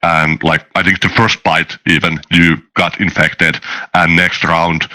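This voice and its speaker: A man's voice: low-pitched, level tone, a little slow, sharp tone. A man telling game-master anecdotes casually on a podcast, circling back and repeating phrases as he goes.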